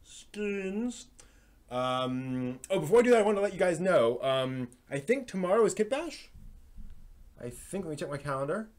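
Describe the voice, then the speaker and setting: A man's voice: high voice, big pitch swings, normal speed, darkish tone. A man hosting a live stream, talking casually and thinking aloud, often breaking off and rewording as he goes.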